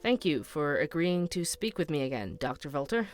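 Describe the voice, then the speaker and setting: A man's voice: on the high side, very animated, normal speed. A man playing a curious, earnest investigative podcaster in an audio drama, with a polite, questioning way of talking and music behind him. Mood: polite, careful. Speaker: podcast host investigating a case. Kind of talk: audio fiction dialogue.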